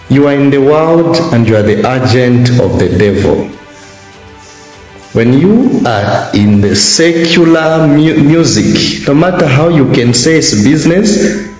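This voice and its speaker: A man with a Zambian accent preaching a slow, solemn warning as a prophet, recorded close on a phone. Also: medium pitch, darkish tone. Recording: roomy echo, faint music behind.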